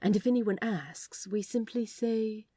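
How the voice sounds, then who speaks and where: A woman's voice: mid-range voice, a little slow, mellow, dry recording, clean studio sound, pitched above the performer's own voice, lightly acted. A woman voicing a prim, polite, eager male office worker with a formal, arch and playfully theatrical manner. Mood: coy.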